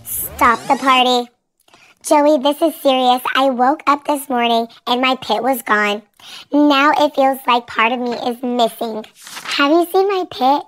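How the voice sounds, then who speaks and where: A woman's voice: high voice, unhurried, a bit bright, soft background music, pitched above the performer's own voice, rough recording. A woman voicing a fretful, dramatic young male avocado in a plaintive childlike storybook voice. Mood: urgent, upset.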